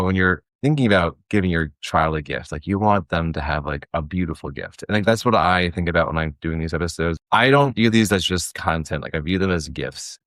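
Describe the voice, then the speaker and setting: A man with a low voice talks as an interview guest. He is earnest and conversational, and the sound is dry and close. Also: fairly bright tone, no room sound. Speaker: podcast guest, audio drama creator.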